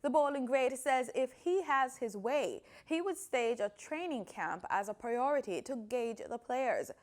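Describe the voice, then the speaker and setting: A woman's voice: high-pitched, animated, unhurried. A woman with a Jamaican accent reading formal scripted news as an anchor.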